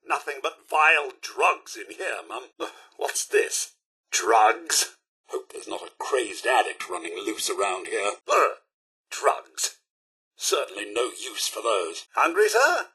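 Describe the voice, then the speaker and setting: An actor playing a prim, courteous robot butler in a video game, with a British accent and a formal, theatrical, dryly witty manner. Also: male voice, high-pitched, bright, forceful tone, breathy edge, heavily acted. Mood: wry dismay. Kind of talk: video game character voice lines.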